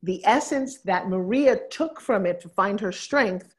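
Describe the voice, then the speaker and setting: A woman business coach on stage at a live seminar, teasing and bossing a guest with quick interruptions. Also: mid-range voice, expressive. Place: event stage with audience.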